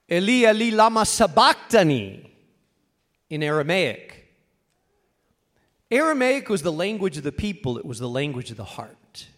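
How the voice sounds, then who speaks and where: High-pitched male pastor preaching. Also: fairly bright tone. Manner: emphatic repetition with dramatic pauses and short, weighted phrases. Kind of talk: sermon.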